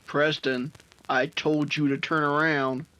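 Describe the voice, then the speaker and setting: An actor playing a rough, wary outlaw gunman in an old-time radio adventure, giving curt, menacing orders. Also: fairly high pitch, flat delivery, takes it slowly, sharp tone, dull audio. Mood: threatening. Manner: clipped, gruff, menacing orders.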